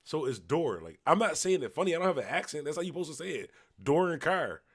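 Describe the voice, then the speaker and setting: A man from Buffalo with a Western New York accent, a little tipsy, rambling loosely as he hosts a late-night livestream and shouts out viewers. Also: on the high side, big pitch swings, fast, slightly rough. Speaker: livestream host. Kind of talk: casual livestream chat reading comments.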